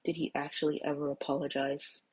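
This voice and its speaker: A woman's voice: low-pitched, level tone, brisk, darkish tone, breathy edge. A woman voicing a reserved, protective, disapproving teenage boy in a story, with a restrained manner. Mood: quiet, skeptical.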